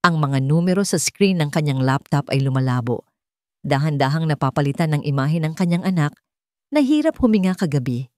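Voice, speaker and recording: A Filipino woman narrates a dramatic story in a low register. The recording is dry and close, with hardly any room around her. Her delivery is that of a scripted storyteller. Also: lively intonation, brisk, dark tone, dry recording.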